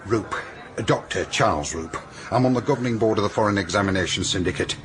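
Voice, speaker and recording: A man's voice: on the low side, fast talker, fairly bright tone, faint music behind, lightly acted. An actor playing a stiff, insistent male academic in a radio drama, formal and precise. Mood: insistent, grave.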